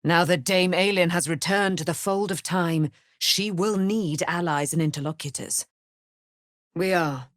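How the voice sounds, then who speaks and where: An actress playing a proud, righteous immortal warrior, daughter of a moon goddess, with grandiose, formal delivery in a fantasy video game. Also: female voice, lowish voice, a little slow, no echo, clean studio sound, lightly acted. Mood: gracious, solemn.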